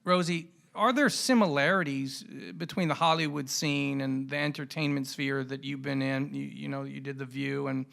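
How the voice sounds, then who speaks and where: Gravelly, forceful male podcast host. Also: medium pitch, steady tone, moderate pace, few pauses. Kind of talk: conversational interview questioning.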